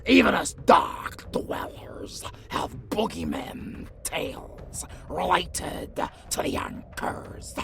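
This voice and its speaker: Creaking, drawling dark creature. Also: female voice, on the low side, slow, hardly any pauses, full character voice. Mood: sly menace. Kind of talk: fantasy audio drama acting. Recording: clear background noise.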